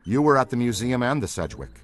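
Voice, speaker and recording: A man voicing an earnest, excitable paranormal investigator in a video game cutscene, explaining things with dramatic seriousness. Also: mid-range voice, a little fast, faint music behind, natural voice.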